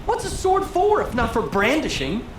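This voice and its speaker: A man's voice: very high-pitched, huge pitch swings, normal speed, reverberant, noisy background, exaggerated voice. A young man playing a petulant, sheltered teenage noble in a video game, theatrical and haughty. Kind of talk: video game dialogue acting.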